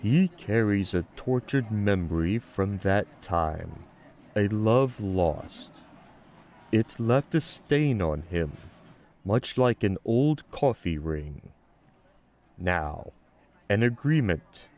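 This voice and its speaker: A man playing a solemn, cryptic skeletal god in an audio drama, making slow and grandiose oracular pronouncements. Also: lowish voice, expressive, a little mellow, a little hiss or hum. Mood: grave, measured. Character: solemn, cryptic skeletal coffee god granting time travel.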